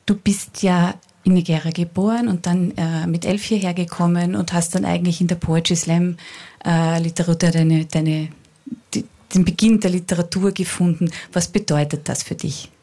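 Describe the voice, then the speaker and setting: A woman hosting a literary evening, introducing and interviewing guests in a halting way with restarted sentences. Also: fairly low pitch, creaky, warm tone. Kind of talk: live event introduction and interview.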